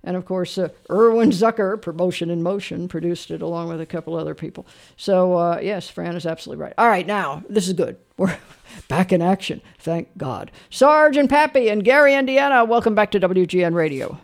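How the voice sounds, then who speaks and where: A radio host runs a call-in show, chatty and upbeat. The voice sits in a middle pitch and keeps tossing out quick affirmations. It moves briskly between callers. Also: animated, creaky.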